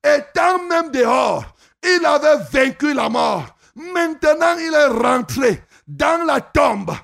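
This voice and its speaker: High, gravelly shouting preacher man. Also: expressive. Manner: shouting, drawn-out, sing-song phrases. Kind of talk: shouted revival sermon.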